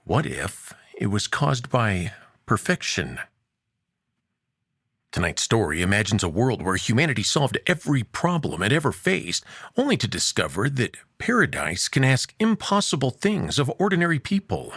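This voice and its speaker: Husky man telling a dramatic story. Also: moderate pace, no echo, clean studio sound. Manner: expressive, swinging between hushed low lines and lifted emphatic ones. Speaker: host and narrator.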